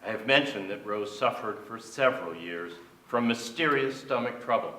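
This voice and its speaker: Bright-voiced man projecting on stage. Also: lowish voice. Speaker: stage actor, reader. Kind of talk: dramatic staged memoir reading. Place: on stage in a theater with an audience. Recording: echoey theater, distant microphone.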